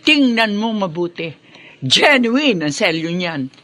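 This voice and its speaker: Vengeful mistress, sharp and animated. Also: female voice, mid-range voice, slow. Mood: gloating, vindictive. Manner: taunting, menacing, theatrical. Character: vengeful, taunting mistress claiming to be the lawful wife. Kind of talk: Filipino radio drama acting.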